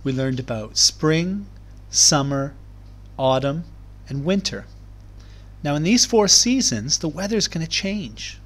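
A man reading aloud to young children as a teacher, explaining things in clear, simple terms. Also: a little high, lively intonation, slow pace, pauses often, a bit bright. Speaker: primary school teacher. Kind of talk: children's read-aloud lesson.

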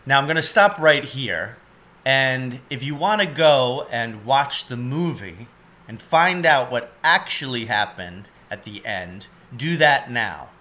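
A man in his forties narrates a children's storybook at a slow, measured pace. His voice is bright and forward, at an ordinary male pitch. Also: lively intonation. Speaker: storybook reader. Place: cluttered indoor room at home, seated.

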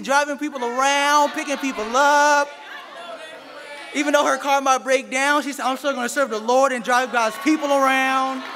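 A man in his thirties preaching a sermon from a pulpit mic, animated and playful, bantering with the congregation. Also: very high voice, noisy background. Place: church sanctuary, indoors. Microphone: stand mic at a pulpit. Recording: reverberant church hall with faint congregation.

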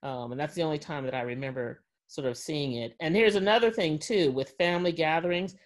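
A woman's voice: low-pitched, a little fast. Woman in her forties, panel moderator on a video call from home, chatty. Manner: chatty, many fillers, laughs. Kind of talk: casual panel discussion. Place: home room, indoors. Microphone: video call webcam.

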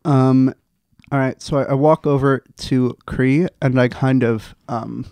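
A man in his twenties playing a tabletop game, casually and haltingly describing his actions into a close studio mic. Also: medium pitch, steady tone, darkish tone, no echo. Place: small indoor podcast studio. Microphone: close stand microphone.

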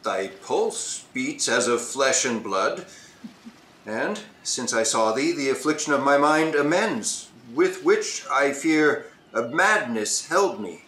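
A man's voice: mid-range voice, lively intonation, slow, bright, forceful tone. A man playing a grieving, repentant king and father in a Shakespearean radio play, speaking with earnest formality. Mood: awed, repentant. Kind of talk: Shakespearean audio drama acting. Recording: roomy, echoing home recording.

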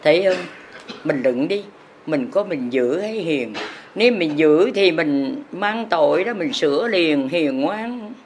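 A woman, a religious teacher who delivers her sermon as drawn-out, sing-song chanted verse and urges her listeners on. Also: low voice, expressive, a little mellow, slight background noise.

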